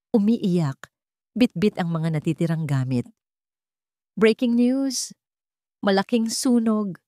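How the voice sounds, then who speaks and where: A woman narrating a drama story in a measured, dramatic storytelling manner. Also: fairly low pitch, warm tone, no echo, studio quality.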